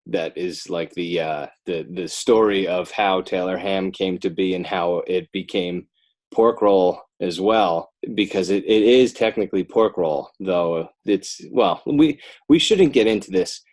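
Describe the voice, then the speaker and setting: American man, slightly low voice, wry and self-deprecating, loose conversational interview guest. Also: measured pace, a little mellow. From northern New Jersey, United States. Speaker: podcast guest, animator and children's author. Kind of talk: casual joking interview chat.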